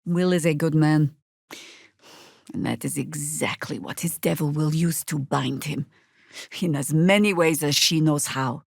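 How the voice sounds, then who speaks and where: An actress playing a world-weary, stern veteran druid woman in a video game, with dry, sardonic, measured delivery. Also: low-pitched, creaky, no room sound, heavily acted. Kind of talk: scripted game character dialogue and spell calls.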